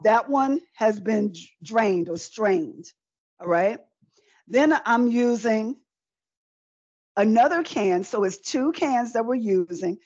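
A middle-aged woman teaches in a conversational, chatty way, circling back to repeat key phrases. Her pitch is average for a woman. The sound is a dull, lo-fi video call with little room echo. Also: darkish tone, husky. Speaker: cooking demonstrator. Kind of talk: live online cooking demonstration, conversational teaching. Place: indoor room with folding screen, demo table.

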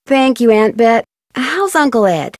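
Young girl detective in a video game, earnest n polite, higher voice, dry sound. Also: big pitch swings, measured pace, no echo. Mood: insistent. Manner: earnest, reassuring. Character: polite, determined young female amateur detective.

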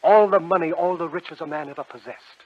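An actor playing a silky, devilish stranger in a radio drama, coaxing with theatrical, mock-courteous charm. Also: male voice, fairly high pitch, brisk, husky, no echo. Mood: tempting. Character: sly, silky devilish stranger who buys shadows. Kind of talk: old-time radio drama acting. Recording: dull vintage radio recording with music.

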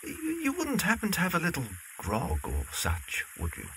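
A man acting a jolly, presumptuous young gentleman in an audio drama, breezy and exclamatory. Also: sits high, wildly swooping pitch, moderate pace, a little hiss or hum, heavily acted. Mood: breezy, jovial.

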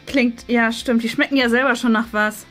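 A woman's voice: a little high, steady tone, normal speed, fairly bright tone, soft background music. A woman in her thirties chatting casually and enthusiastically to viewers as she hosts an unboxing. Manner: gushing, enthusiastic. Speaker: host unboxing an advent calendar. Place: small furnished indoor studio.

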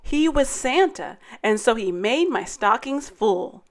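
Expressive woman reading a children's picture book. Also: a little high. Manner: dramatic, deliberate rhyming delivery. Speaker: story time host and narrator.

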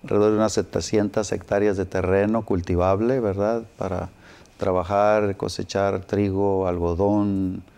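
A man in his sixties from Sonora, Mexico, a pastor answering interview questions in an unhurried storytelling way, with fillers and tag questions. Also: lowish voice, level tone, gravelly, dark tone, clean tone. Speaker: pastor, guest. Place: TV studio set. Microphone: lapel.

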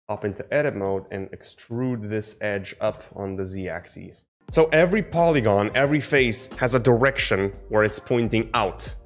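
Male tutorial host, 20s. Mid-pitched, informal, explanatory delivery straight to the viewer, close studio mic, music underneath. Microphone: close studio microphone on boom arm. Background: background music.

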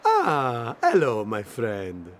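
Very animated Italian-accented little car. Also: male voice, medium pitch, drawn-out words, exaggerated voice. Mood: welcoming. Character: excitable, friendly Italian little car shopkeeper. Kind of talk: animated video game acting.

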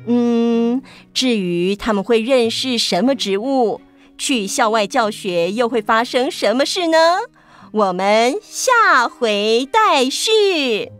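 A woman's voice: fairly high pitch, animated, slow pace, clear, resonant tone, soft background music. A woman narrating a scripted story for children.

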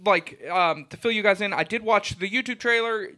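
A man's voice: high voice, pushed and bright. A man co-hosting a casual movie podcast, firing off joking questions. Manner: asks rapid joking questions. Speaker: podcast co-host. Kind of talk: casual chat.

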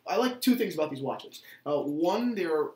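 A man's voice: fairly high pitch, animated, moderate pace, dark tone, slight background noise. Young man chatting in an echoey room. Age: 20s. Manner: rambling, peppered with "you know". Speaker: watch channel host. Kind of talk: casual enthusiast chat to camera. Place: small indoor office, seated at a desk.

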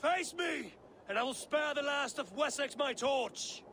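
Resolute, grave Viking warrior. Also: male voice, extremely high pitch, expressive, a little slow, pushed and bright, a little hiss or hum, heavily acted. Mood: fierce, rallying. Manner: grave, declamatory. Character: bearded Viking warrior jarl, resolute and vengeful. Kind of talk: dramatic video game acting.

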